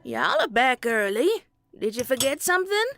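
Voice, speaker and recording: A woman's voice: high voice, very animated, normal speed, fairly bright tone, slight background noise. A woman voicing an elderly, homely and flustered country granny pony with a Southern US accent in a cartoon comic dub. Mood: surprised, homely.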